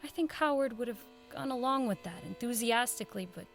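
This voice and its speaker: Earnest, determined radio executive, music underneath. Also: female voice, fairly high pitch, lively intonation, a little fast. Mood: reflective narration. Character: Helen, idealistic, determined woman radio network executive, late 1940s. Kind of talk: audio drama acting, dialogue and wistful first-person narration.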